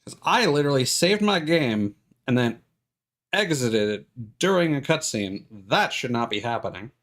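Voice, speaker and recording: A man doing casual live game commentary as a streamer, thinking aloud in a rambling, halting way. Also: medium pitch, animated, fairly bright tone. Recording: music behind the voice. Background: game music.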